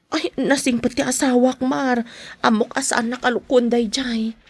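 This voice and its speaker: A woman's voice: on the high side, fairly even intonation, moderate pace, husky. A woman acting a meek, guilt-ridden young wife and mother in a podcast drama, hesitant and pleading. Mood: reluctant.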